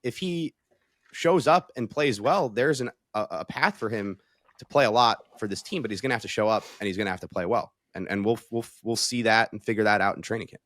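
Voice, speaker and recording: A man's voice: on the low side, a little fast, a bit bright, dry recording. A man giving his take as a sports reporter in a casual talk show conversation.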